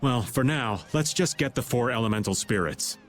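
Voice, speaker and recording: An easygoing middle-aged adventurer from a video game, played by a man. He is wry, with a very animated delivery and faint noise behind. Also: mid-range voice, moderate pace, lightly acted. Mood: wry, musing. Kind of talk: English video game voice acting.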